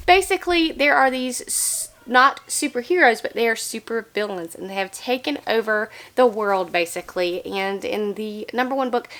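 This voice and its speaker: A young woman chatting casually about the books she read, a little nasal because she has a cold. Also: a little high. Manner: chatty, candid, animated opener. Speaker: book vlogger.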